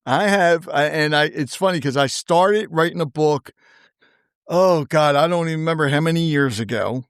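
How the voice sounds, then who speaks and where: A man's voice: a little high, normal speed, smooth, no room sound, clean studio sound. A man hosting a podcast, upbeat and laughing often, first reading a scripted introduction and then chatting casually. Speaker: podcast host.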